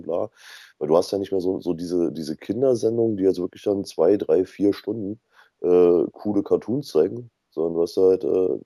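A man thinks aloud in an easygoing podcast chat. His voice is deep and mellow, he moves along quickly, and the sound is dry. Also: no room sound. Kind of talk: casual podcast discussion.

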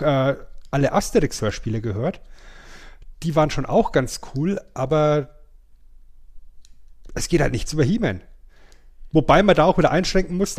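A man's voice: a little high, vocal fry, darkish tone. A man, chatting casually and playfully as a podcast co-host. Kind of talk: casual nostalgic chat.

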